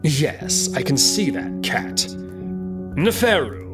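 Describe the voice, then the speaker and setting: Casting: male performer as a wolfish usurper emperor, angry and commanding, highly animated delivery, music underneath. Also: takes it slowly. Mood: irritated. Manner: commanding, grunting.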